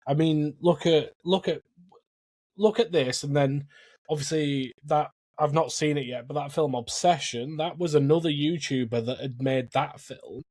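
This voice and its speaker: A man's voice: fairly high pitch, a little mellow. A man giving his opinions in a casual podcast chat, often repeating the start of a phrase as he goes. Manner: halting, repeats openings of phrases. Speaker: podcast guest.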